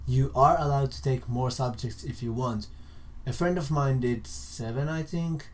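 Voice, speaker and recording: A young Finnish man with a soft, mellow tone and a Finnish accent. He is a native Finnish speaker talking informally as a vlogger, haltingly rephrasing as he explains. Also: medium pitch. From Finland. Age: young adult. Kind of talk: casual informal explainer to camera.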